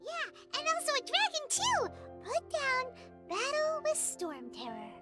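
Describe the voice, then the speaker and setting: A tiny floating fairy companion for a video game. The voice is extremely high and childlike, swinging widely in pitch, a cheeky chatterbox over loud music. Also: female voice, very animated, measured pace, heavily acted. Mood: proud, matter-of-fact. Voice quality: squeaky, childlike.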